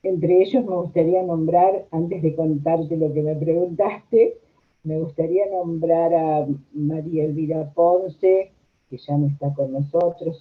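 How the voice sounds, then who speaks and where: An older woman with a Rioplatense Argentine accent, reminiscing conversationally as she is interviewed. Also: deep voice. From La Plata, Argentina. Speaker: interviewed social worker. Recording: tinny, narrow phone-like audio.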